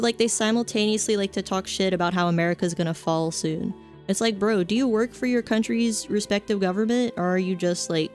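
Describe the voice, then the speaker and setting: A young adult woman streamer chatting casually, rambling with lots of fillers and offhand jokes. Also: mid-range voice. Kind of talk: casual chat stream, reading chat.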